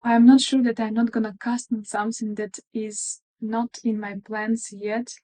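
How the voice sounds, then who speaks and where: Soft-spoken Russian-accented woman, friendly and shy. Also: fairly high pitch, little pitch movement, moderate pace. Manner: friendly, a little shy, chatty with frequent fillers. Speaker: crafting vlogger. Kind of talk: casual crafting video chat.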